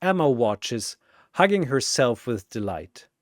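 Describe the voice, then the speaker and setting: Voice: medium pitch, sing-song, slow, dry recording, clean studio sound. A narrator reading a scripted battle story in a dramatic storytelling style.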